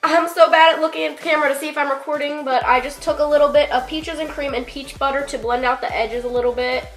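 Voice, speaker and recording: A woman's voice: sits high, fairly even intonation, quick pace, faint music behind. A young woman with a congested, cold-stuffed voice, chatting casually through a makeup tutorial with lots of fillers and the odd curse. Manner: chatty, filler-heavy, offhand swearing. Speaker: beauty vlogger.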